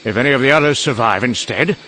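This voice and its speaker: A man playing a mad, vain, rambling scientist in a motion-comic drama, excitable and pleased with himself. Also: mid-range voice, fast talker, a little hiss or hum, natural voice, poor audio. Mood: manic, urgent. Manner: rambling, excitable, self-congratulating. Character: mad, vain, rambling British male scientist narrating doom.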